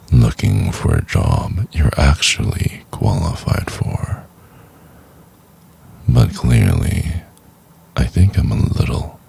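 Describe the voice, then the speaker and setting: A man playing a cold, domineering, contemptuous chief executive in an ASMR roleplay, speaking in a husky, condescending, deliberate half-whisper. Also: rumbling low, darkish tone, heavily acted. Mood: smug, domineering. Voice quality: husky, gravelly. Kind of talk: intimate ASMR roleplay, acted monologue.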